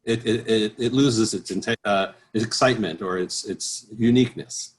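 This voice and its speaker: A man speaking as an interview guest, explaining things conversationally as a choral professional, with halting, repeated phrases. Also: on the low side. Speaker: interview guest, professional bass singer and choir director.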